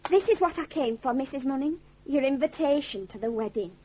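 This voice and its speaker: An actress playing a snobbish young bride-to-be with a Northern English accent, haughty and putting on airs, in a radio drama. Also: female voice, high voice, animated, fairly quick, dark tone. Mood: self-satisfied. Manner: haughty, cutting. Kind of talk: 1980s radio drama acting. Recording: dull lo-fi radio recording.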